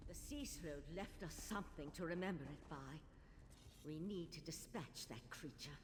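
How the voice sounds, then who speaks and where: An actress playing a brisk, determined mage on a mission in a video game. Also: female voice, sits low, background noise, lightly acted. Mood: urgent, focused. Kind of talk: video game character dialogue.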